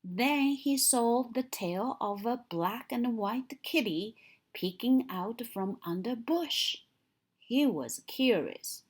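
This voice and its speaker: A woman reading a picture book aloud to young children in an expressive storytelling style, with dramatic emphasis on key moments. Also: fairly high pitch, takes it slowly, slightly rough.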